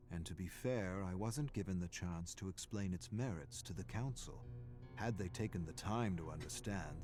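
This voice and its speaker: A weary, brooding scholar narrates his journal from a foggy prison in a video game. His voice is low and his delivery is measured, formal and solemn. Music plays clearly behind him.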